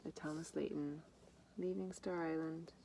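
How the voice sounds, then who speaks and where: Low, creaky-voiced woman reading a storybook. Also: measured pace. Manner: expressive, acts out dialogue. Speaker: storybook reader. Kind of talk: read-aloud children's story with informal asides on the pictures. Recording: noticeable background noise.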